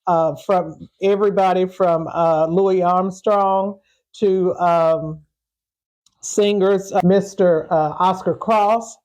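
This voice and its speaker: An older woman from western Kentucky answering interview questions, halting and repeating words as she searches. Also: fairly low pitch, fairly even intonation, darkish tone, low-quality recording. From western Kentucky, United States. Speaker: interview guest, community preservation advocate.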